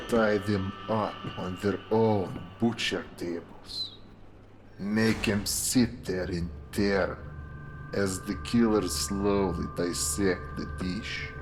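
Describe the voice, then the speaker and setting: A man playing a coolly sinister detective in a noir audio drama, speaking in a deliberate, insinuating way. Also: sits low, full character voice. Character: menacing male detective, slow, coolly sinister.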